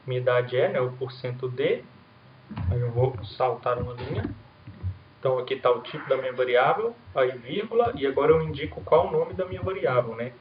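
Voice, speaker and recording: A man's voice: mid-range voice, brisk. Male coding instructor, roomy lo-fi recording. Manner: casual explanatory, frequent "né?" and "certo?" checks. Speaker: programming instructor. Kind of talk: recorded coding lesson with screen demo. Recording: roomy, dull lo-fi sound, faint hiss.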